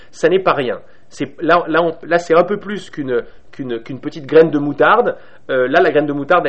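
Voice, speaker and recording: A man's voice: a little high, dull audio. A man from Paris with a Parisian accent giving an informal spiritual talk to a group, hesitant, with many restarts, fillers and light laughs. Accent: Parisian French. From Paris, France. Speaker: spiritual speaker.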